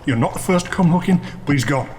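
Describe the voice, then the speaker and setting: An actor playing a battered saloon bartender in a western audio drama. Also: male voice, a little high, expressive, quick pace, darkish tone, slight background noise, close to an everyday voice. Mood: shaken. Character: battered male saloon bartender in the Old West.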